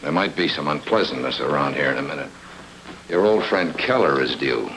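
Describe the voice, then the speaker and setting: Guy acting hard-boiled noir detective, deep and gravelly, terse, needling, radio drama. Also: animated, a little fast, background noise. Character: hard-boiled male private eye, sardonic and probing.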